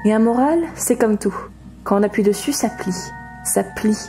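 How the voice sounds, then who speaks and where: An actress playing an eager office employee who theatrically hosts horror stories in an audio comedy. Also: female voice, mid-range voice, normal speed, warm tone, soft background music, lightly acted, poor audio. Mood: ominous, theatrical. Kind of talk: amateur audio comedy drama.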